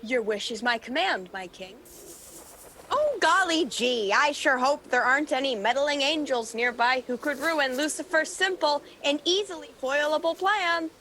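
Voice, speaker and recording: A woman's voice: sits high, normal speed, bright, forceful tone. A woman playing a sly, sarcastic demon henchman with a mocking, theatrical delivery in a radio play. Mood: scornful. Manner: mocking, mock-sweet, theatrical.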